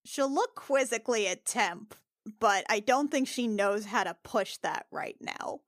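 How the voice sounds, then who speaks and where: A woman's voice: fairly high pitch, animated, a little slow, no room sound. Woman improvising game narration, dry sound. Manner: tentative, descriptive, thinking aloud. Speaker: player in a tabletop role-playing podcast.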